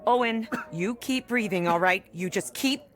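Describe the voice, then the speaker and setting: A woman's voice: mid-range voice, normal speed, close to an everyday voice. A woman playing a weary, dogged rural doctor and mother in an audio drama, with a dry, blunt, wry delivery. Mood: urgent, anxious. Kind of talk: naturalistic audio drama acting.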